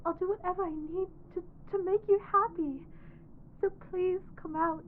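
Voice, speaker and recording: A woman voicing a lovestruck, clingy undead corpse bride in an ASMR audio roleplay, soft, earnest and pleading. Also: extremely high pitch, steady tone, moderate pace, narrow, tinny audio, a little hiss or hum. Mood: tearful pleading.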